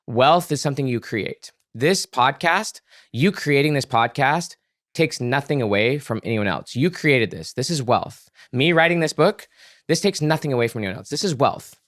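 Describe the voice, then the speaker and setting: Male podcast guest, average pitch, conversational expert explainer, earnest and emphatic, dry sound. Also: fairly bright tone, no room sound. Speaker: podcast guest, author and psychologist.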